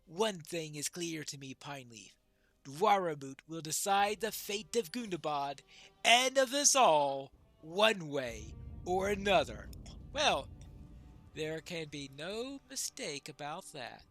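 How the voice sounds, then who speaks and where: Guy doing a solemn dwarf lord, formal declaiming, kinda high, slow, game music faint behind. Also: very animated, a bit bright, no room sound, full character voice. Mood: grave, wary. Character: solemn, battle-ready male dwarf warrior lord. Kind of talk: fantasy game quest dialogue.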